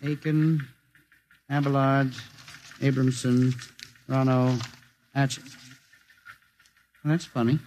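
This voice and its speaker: An American actor playing a mild-mannered, fussy records clerk in vintage radio drama, polite, earnest and hesitant, with flustered stammers. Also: male voice, medium pitch, level tone, takes it slowly, pauses often, darkish tone, slight background noise, natural voice, rough recording. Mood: puzzled.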